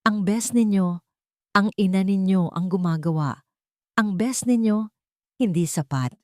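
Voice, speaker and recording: A woman's voice: mid-range voice, measured pace, a little mellow, no echo, studio quality, close to an everyday voice. A woman voicing a determined, pleading nanny in a dramatic audio story. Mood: pleading, reproachful. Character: determined, pleading nanny secretly plotting revenge.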